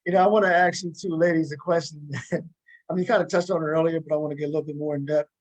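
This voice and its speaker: A man's voice: on the high side, fairly even intonation, quick pace, rough recording. An American man hosting a casual interview over a video call. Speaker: host and playwright interviewing cast.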